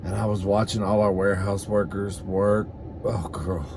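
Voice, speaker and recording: A man's voice: fairly low pitch, little pitch movement, moderate pace, noisy background. A man hosting a comedy mukbang, chatting casually to the camera in a campy, sassy, bawdy manner as he eats. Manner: campy and sassy, calls listeners "girl" and "honey"; bawdy, swears freely. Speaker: host of a comedy mukbang vlog. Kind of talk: casual comic chat to camera while eating.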